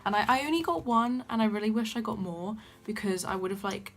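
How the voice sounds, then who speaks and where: Gushing young woman with soft voice. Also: mid-range voice, animated, brisk. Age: young adult. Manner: gushing, enthusiastic fan talk. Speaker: vlogger. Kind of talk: casual vlog chat to camera. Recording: faint background music.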